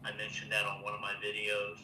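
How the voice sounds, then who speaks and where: A man's voice: on the low side, completely flat delivery, fast talker. An older man born in Taiwan hosting a casual live chat, rambling with lots of fillers and restarts. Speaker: livestream host. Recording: roomy, distant mic, faint noise.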